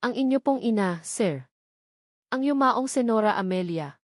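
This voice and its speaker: A woman's voice: fairly high pitch, lively intonation, a little slow, dry recording, clean studio sound. Woman voicing a pleading, remorseful housekeeper. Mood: tearful pleading. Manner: pleading, respectful. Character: remorseful, deferential middle-aged housekeeper, a woman.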